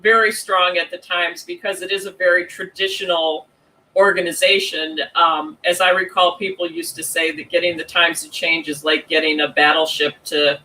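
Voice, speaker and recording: A man hosting an online interview over a video call, asking long questions in a measured way with uh fillers. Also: on the high side, level tone, pushed and bright, a little hiss or hum, poor audio. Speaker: host.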